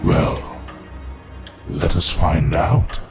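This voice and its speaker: A man's voice: extremely low pitch, little pitch movement, very slow, exaggerated voice. A man playing a menacing evil overlord who hosts a horror podcast, speaking in a pompous, theatrical way over music. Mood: grandiose menace. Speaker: podcast host. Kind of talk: scripted horror podcast introduction. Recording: loud music under the voice.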